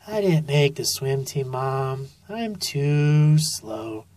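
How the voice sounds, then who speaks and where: A woman playing a young boy sloth in a storybook, easily discouraged, with drawn-out, plaintive words. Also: very deep voice, animated, darkish tone, no echo, full character voice. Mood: dejected.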